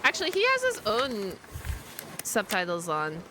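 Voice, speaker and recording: Woman streamer, lively swooping delivery, informal and exclamatory reaction chat, noticeable noise behind the voice. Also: mid-range voice, a little slow.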